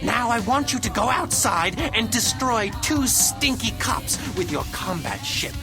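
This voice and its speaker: A woman's voice: on the high side, expressive, a little slow, music in the background. A woman voicing a theatrical robot gambler in a video game, with grand, dramatic airs. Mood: scheming, businesslike. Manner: dramatic, declaiming. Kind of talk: scripted video game cutscene acting.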